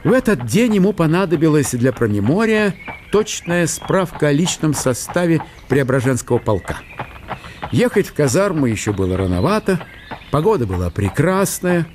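A man narrating a literary radio play in a measured, storytelling manner. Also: a little high, darkish tone, slight background noise.

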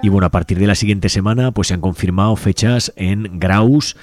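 A man with a deep, low voice reads out upcoming events on a community radio show. His delivery is easygoing and conversational, and he often ends his points with a questioning "right?". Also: normal speed, music in the background. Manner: relaxed, conversational, tags lines with a questioning "right?". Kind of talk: casual radio announcements.

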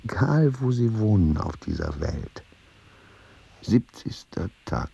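A man narrating in a radio play, reading texts out in a deliberate, husky voice. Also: lowish voice, huge pitch swings, dark tone, exaggerated voice.

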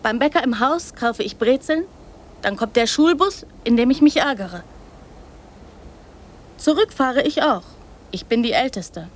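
Slow, high-voiced naive girl. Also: lively intonation. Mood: chatty, proud. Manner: halting, simple childlike phrases. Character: naive, trusting, affectionate girl named Anna. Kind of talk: radio drama acting.

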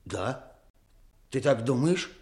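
Актёр играет робкого, нерешительного и доверчивого служащего, который запинается, в радиоспектакле 1960-х. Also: male voice, medium pitch, big pitch swings, slow pace, darkish tone. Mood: hesitant, unsure. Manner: stammering, hesitant. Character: timid, indecisive, gullible petty official. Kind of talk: 1960s radio drama acting.

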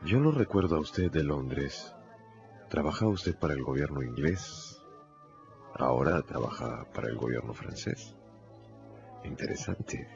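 A very deep, gravelly scheming lawyer. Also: male voice, lively intonation, unhurried, pauses often, darkish tone, muffled recording, soft background music, heavily acted. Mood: sly confidential pressure. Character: resolute, hard-drinking English lawyer, quietly scheming. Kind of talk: Spanish-language radio drama acting.

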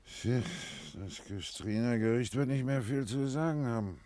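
An actor in a radio play as a sober, resigned village elder, measured and dryly philosophical. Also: male voice, mid-range voice, dark tone, no room sound, natural voice. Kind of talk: German radio play acting.

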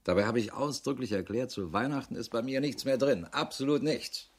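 An actor playing a harried, middle-aged police investigator in a radio drama, matter-of-fact and dry. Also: male voice, medium pitch, brisk, slightly rough. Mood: harried, grumbling. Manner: matter-of-fact, dry, clipped official phrasing. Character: harried, world-weary male police investigator with a wife. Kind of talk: German radio drama acting, dictated report.

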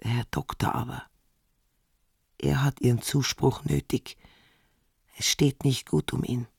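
A man voicing a worried country housewife in a radio drama, confiding gravely and deferentially in a creaky, husky voice. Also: medium pitch, little pitch movement, a little slow, no echo, studio quality, heavily acted. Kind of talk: literary radio drama acting.

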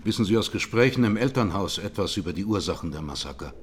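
An actor playing a methodical male judge who questions in a formal, measured way in a courtroom radio drama. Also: low-pitched, animated, slight background noise. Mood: probing, sober.

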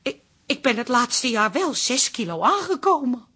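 An actress playing a bossy, sharp-tongued middle-aged wife in a comic radio drama, scolding and commanding. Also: female voice, on the high side, big pitch swings, normal speed, husky, full character voice. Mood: insecure.

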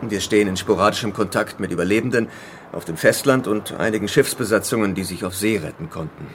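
An actor playing a calm, controlling leader of island survivors in a radio drama, speaking with measured, patronizing authority. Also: male voice, lowish voice, slight background noise, lightly acted. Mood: smooth, patronizing. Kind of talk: German radio drama acting.

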